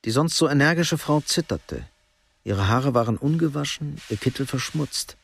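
A man narrating a radio crime drama in a measured, matter-of-fact way. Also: mid-range voice, lively intonation, gravelly, slight background noise. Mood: sober. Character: sober third-person narrator of a crime story.

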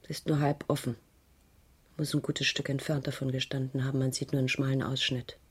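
An actress playing a troubled, earnest woman witness in a German radio crime drama, giving halting testimony with protective defiance. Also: extremely low pitch, level tone, breathy edge, full character voice. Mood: shaken, hushed.

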